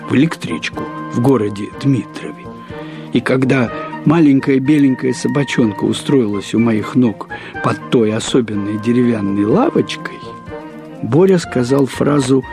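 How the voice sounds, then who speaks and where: A man reads literary prose as a storyteller, in a measured, deliberate way with pauses and emphatic repetition. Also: medium pitch, music in the background. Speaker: narrator. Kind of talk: expressive literary narration of a short story.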